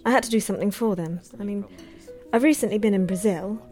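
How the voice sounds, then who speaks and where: A woman's voice: medium pitch, fast talker, darkish tone, soft background music, close to an everyday voice. An actress playing a refined, upper-class young woman socialite who speaks breezily and politely. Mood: breezy.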